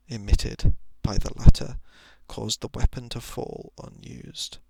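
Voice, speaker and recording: A man softly reading a horror story in ASMR style, often slipping into a whisper, with deliberate dramatic pauses, close to the microphone. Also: mid-range voice, no echo. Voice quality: soft, breathy, often dropping into whisper.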